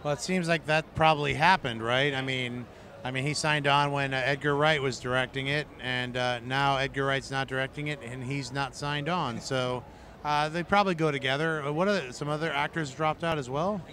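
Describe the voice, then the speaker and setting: A man co-hosting a movie news chat, his voice hoarse and strained, talking in an easygoing way full of "uh" and "right". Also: mid-range voice, steady tone, moderate pace, bright, forceful tone, a little hiss or hum. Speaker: co-host of a movie news show. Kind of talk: casual chat about movies. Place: outdoors at a busy convention.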